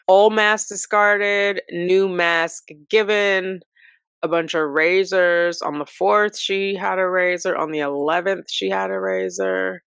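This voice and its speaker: American female commentary host, lower register, dry close sound, dramatic document reading with casual sassy asides. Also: a bit bright, no echo.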